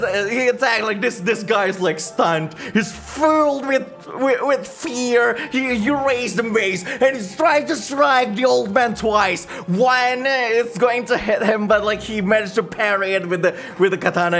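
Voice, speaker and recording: High-voiced man narrating a tabletop game. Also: soft background music. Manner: animated storytelling, halting, thinking aloud. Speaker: game master and streamer.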